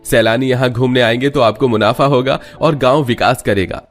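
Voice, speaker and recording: A man's voice: medium pitch, moderate pace, soft background music, lightly acted. A man voicing a reassuring government politician in a cartoon story, with a formal, persuasive manner.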